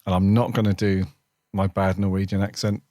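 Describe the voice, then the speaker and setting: A man's voice: low voice, little pitch movement, a little fast, mellow, dry recording. A man co-hosting a podcast in casual chat, easygoing and joking, laughing at his own puns. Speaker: podcast co-host.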